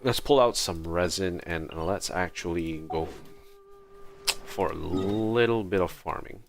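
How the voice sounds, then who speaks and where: Deep-voiced, unhurried male game streamer. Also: music in the background. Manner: halting, thinking aloud in short phrases. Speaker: game livestreamer. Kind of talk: casual live gameplay commentary.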